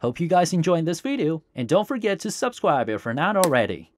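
A man's voice: on the high side, very animated, brisk, slight background noise. A man hosting a scripted tech tutorial as a voice-over, recorded close to the microphone. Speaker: tutorial host. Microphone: close voice-over microphone, dry.

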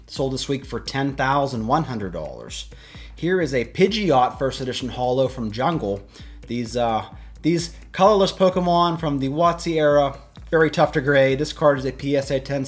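Male host, 30s, mid-pitched voice, upbeat and informative rundown delivery for an online video. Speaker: YouTube host. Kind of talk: scripted-style auction price rundown to camera. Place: small indoor room with shelves of collectibles.

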